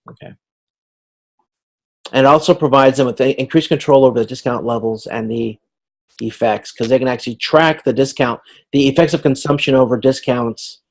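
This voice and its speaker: Male instructor, mid-pitched, informal online lecture read, halting and conversational, repeats words while explaining. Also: a little mellow, low-quality recording. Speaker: university instructor.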